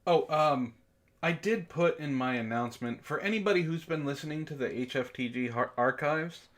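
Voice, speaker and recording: A man's voice: a little high, normal speed, a bit bright. A man hosting a book club livestream in casual chat, jokey and playfully theatrical when he announces things.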